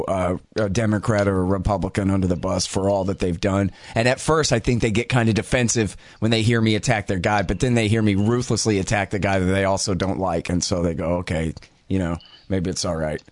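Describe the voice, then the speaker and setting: A man with a somewhat low voice hosts a talk show, chatting in a loose, casual way full of fillers and asides. The sound is dry and close to the mic. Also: no echo. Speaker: podcast host.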